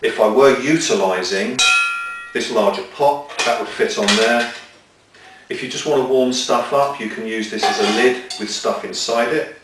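A man's voice: medium pitch, normal speed, far from the mic, noisy background. Man demonstrating gear in echoey room. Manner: methodical, explains step by step while handling gear. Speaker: outdoor gear presenter. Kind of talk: hands-on equipment demonstration. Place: small indoor room with table of gear. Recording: echoey room, mic set back.

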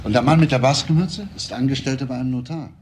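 An actor playing a composed, persistent police detective in a crime radio play, asking probing, deliberate questions. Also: male voice, mid-range voice, darkish tone, close to an everyday voice. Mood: matter-of-fact. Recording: faint background noise.

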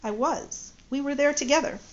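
A woman's voice: sits high, moderate pace, pitched above the performer's own voice, close to an everyday voice. A woman voicing an earnest middle-aged man in a read-aloud story, on dull lo-fi audio. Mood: eager.

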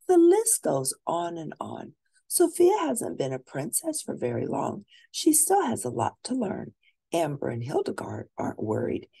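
A woman reading a children's storybook aloud expressively, with drawn-out emphasis for young listeners. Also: on the low side, wildly swooping pitch, vocal fry, dark tone, slightly rough. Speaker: storybook reader.

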